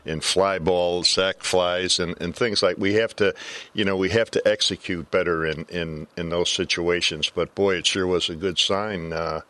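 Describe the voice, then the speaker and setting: Low-voiced older man, halting talker. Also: steady tone, fairly bright tone, no echo. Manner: halting, deliberate, repeats words. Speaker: color commentator, former coach. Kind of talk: casual sports panel discussion.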